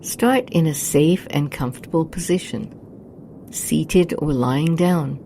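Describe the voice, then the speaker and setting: A woman narrating a scripted guided meditation in a soothing, hushed, unhurried manner. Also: sits low, a little hiss or hum.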